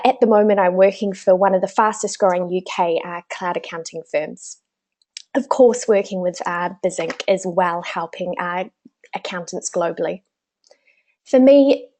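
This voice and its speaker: A woman's voice: mid-range voice, darkish tone. A woman with a New Zealand accent presenting a business webinar in a chatty way, with many small fillers.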